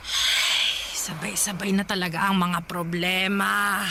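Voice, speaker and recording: A woman playing a weary, caring old grandmother in a Filipino radio drama, with a sighing, plaintive, halting delivery. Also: medium pitch, little pitch movement, creaky, background noise. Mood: weary, troubled. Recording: music bed behind the voice.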